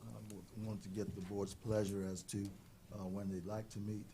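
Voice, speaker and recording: Male town manager, low warm tone, halting delivery. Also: level tone, a little hiss or hum. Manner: hesitant, many ums. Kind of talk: meeting report. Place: council chamber.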